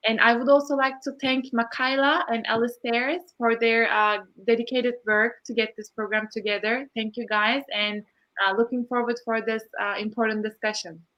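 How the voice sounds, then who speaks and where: A woman with a fairly high voice introduces the guests of an online panel. She reads from notes and fills her pauses with "uh" and "um". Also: flat delivery, lo-fi sound. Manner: reads with frequent "uh" fillers. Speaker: program host introducing guests. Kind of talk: scripted introduction.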